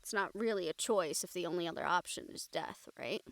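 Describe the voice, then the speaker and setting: A woman playing a wry, gentle recruiter of supernatural outcasts in an intimate audio roleplay, teasing and earnest in manner. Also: fairly low pitch, brisk, no room sound, lightly acted. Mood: wry, calm.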